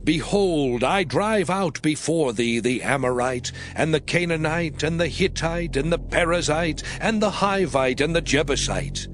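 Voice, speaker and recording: An actor playing the Lord God as a solemn, commanding male deity, declaiming archaic scripture deliberately in a dramatized Bible reading. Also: a little high, a little hiss or hum, lightly acted. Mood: stern, commanding. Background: music.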